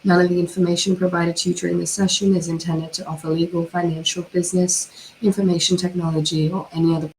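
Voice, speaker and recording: A hoarse woman with a cold, giving a formal prepared talk over a video call from home at night. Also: fairly low pitch, level tone, a little mellow, a little hiss or hum, low-quality recording. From Australia. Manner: measured, formal, with occasional fillers. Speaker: panel speaker, cyber criminologist. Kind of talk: formal prepared online presentation.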